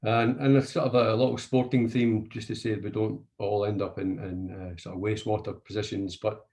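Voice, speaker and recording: Middle-aged man, low voice. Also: flat delivery, moderate pace, darkish tone. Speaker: managing director on a panel. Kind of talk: panel talk to an audience.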